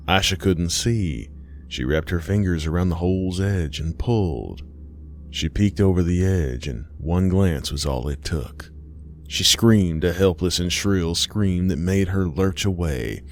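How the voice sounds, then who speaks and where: A man narrating a scripted horror story as an audiobook-style reader. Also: sits low.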